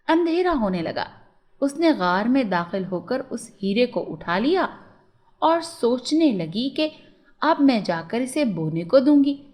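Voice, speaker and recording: A woman's voice: sits high, a little mellow. A woman narrating a scripted children's moral story as a storyteller.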